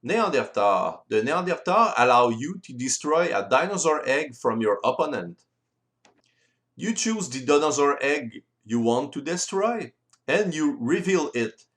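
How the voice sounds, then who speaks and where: A man's voice: on the high side, big pitch swings, a little slow, fairly bright tone. French-accented man explaining game rules. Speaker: board game designer and presenter. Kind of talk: explanatory tutorial voice-over.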